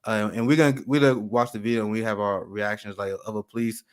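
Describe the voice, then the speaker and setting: A man hosting a live talk show, speaking with many restarts and pauses. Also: fairly low pitch, steady tone, clear, resonant tone. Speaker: host.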